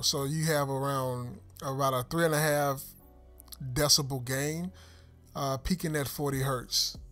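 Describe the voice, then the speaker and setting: Higher-pitched man explaining a product test. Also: fairly bright tone, no room sound. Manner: explanatory, addresses viewers as "you guys". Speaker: car audio reviewer and host. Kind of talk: hands-on product test commentary. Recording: clear background noise, vehicle ambience.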